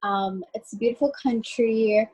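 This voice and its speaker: A woman's voice: on the high side, a little slow, a little mellow, slightly rough, poor audio. A young woman from Ethiopia, chatting as a guest over a video call in a friendly, loose way with many fillers. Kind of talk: casual video call chat.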